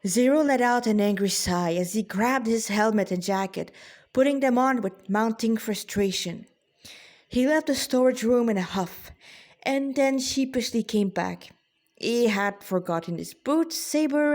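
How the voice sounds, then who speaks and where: A woman, an author reading her own story in a dramatic, deliberate way with informal asides. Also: fairly high pitch, a little mellow.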